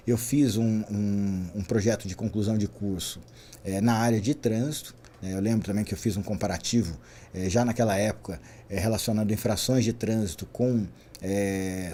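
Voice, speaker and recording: A Brazilian man in his forties, a guest in a podcast interview, telling his career story in an animated way, often tagging his sentences with a quick question. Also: on the low side, a little hiss or hum. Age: 40s. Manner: animated, frequent "né?" tags. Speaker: guest, traffic safety specialist.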